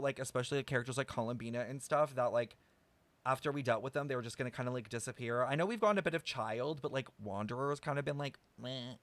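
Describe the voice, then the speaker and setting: A young man streaming casual, playful game reactions, excitable and slangy with plenty of swearing. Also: mid-range voice, no room sound. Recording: game music behind.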